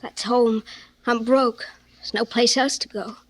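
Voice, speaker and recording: A woman's voice: fairly high pitch, fairly even intonation, a little slow, fairly bright tone, low-quality recording. A woman playing a naive, grateful, down-on-her-luck young woman in a 1940s radio drama, earnest and hesitant. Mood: meek, tearful.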